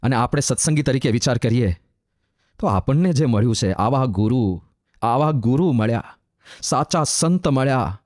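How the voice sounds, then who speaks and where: A man giving a devotional sermon as a monk, chanting verses slowly and explaining them with emphatic, rising repetition. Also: mid-range voice, expressive, a little mellow, no echo, studio quality.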